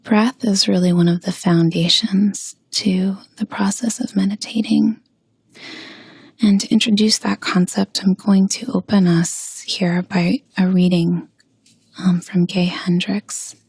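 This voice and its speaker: A woman leading a guided meditation with gentle spoken instruction, pausing slowly and soothingly in a breathy, husky voice. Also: mid-range voice, level tone, dry recording.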